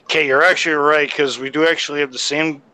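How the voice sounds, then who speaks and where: A man's voice: fairly high pitch, fairly even intonation, fast, sharp tone, low-quality recording. A man from the Boston area with a Boston accent, rambling in a casual group chat and restarting his sentences.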